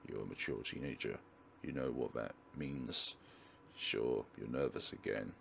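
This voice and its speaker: A man doing a very deep, controlling male teacher. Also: measured pace, gravelly, fairly bright tone, a little hiss or hum, exaggerated voice. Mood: quietly menacing. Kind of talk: dramatic visual novel reading.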